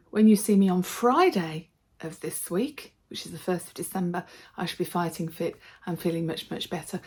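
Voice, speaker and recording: A woman vlogger with a slightly hoarse voice from a cold, chatting casually and cheerfully to her viewers. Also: fairly low pitch, dark tone. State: slightly hoarse, losing her voice from a throat infection. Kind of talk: casual vlog chat to viewers.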